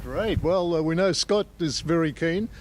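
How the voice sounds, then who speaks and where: A man's voice: fairly high pitch, normal speed, a little hiss or hum. An Australian man working as a TV news reporter, doing a chatty interview on location. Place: on location at a school.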